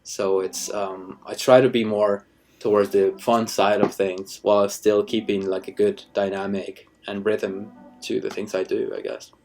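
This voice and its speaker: A man in his thirties from Ecuador, a cinematographer chatting casually in an interview, halting with many restarts and fillers. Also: on the low side, little pitch movement, a little mellow, faint music behind. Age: 30s. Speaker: cinematographer guest.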